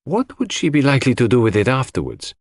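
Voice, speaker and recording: A man's voice: medium pitch, sing-song, fast, no room sound, pitched above the performer's own voice. Audiobook sleuth, man acting: polite, formal, businesslike interrogator, persuasive, with widely moving intonation. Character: courteous, businesslike, probing male detective.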